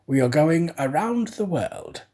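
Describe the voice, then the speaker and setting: A man voicing a composed, exacting gentleman master who gives crisp orders in a storybook reading. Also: on the high side, big pitch swings, unhurried, voice pushed up, heavily acted. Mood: composed, commanding.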